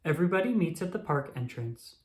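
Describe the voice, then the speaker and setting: A woman reading a children's storybook aloud with expressive, animated storytelling and emphatic exclamations. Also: low voice, fairly quick. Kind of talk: scripted read-aloud narration for young children. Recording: roomy, echoing sound.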